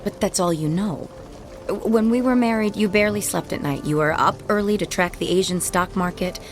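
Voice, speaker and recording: An actress playing a sharp-tongued, wounded ex-wife in a radio drama, guarded and full of biting, sarcastic retorts. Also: female voice, medium pitch, moderate pace, faint music behind, natural voice. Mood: grudging, puzzled.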